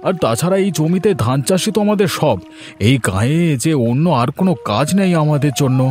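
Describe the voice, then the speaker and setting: A man's voice: fairly high pitch, animated, a little fast, a little mellow, soft background music, close to an everyday voice. A man voicing a poor village rice farmer, weary but hopeful, earnest and plain-spoken, in a children's cartoon.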